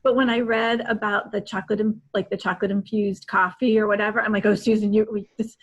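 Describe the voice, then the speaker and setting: A woman's voice: mid-range voice, flat delivery, fast talker, husky, highs cut off. A woman in her forties chatting casually and with enthusiastic emphasis as a podcast co-host on a video call. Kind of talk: casual book chat. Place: small room at home.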